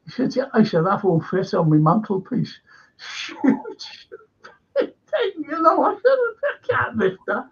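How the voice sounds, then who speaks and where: Gravelly-voiced older Liverpool man. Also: very high voice, very animated, takes it slowly. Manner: rambling storyteller, acts out quoted voices. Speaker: radio show host and local historian. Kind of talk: casual radio chat, reading listener messages. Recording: dull lo-fi sound.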